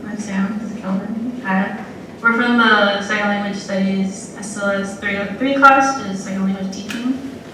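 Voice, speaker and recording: A woman student opening an interview in a hesitant way, with many fillers. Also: mid-range voice. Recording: played-back video in an echoey room, distant, noisy.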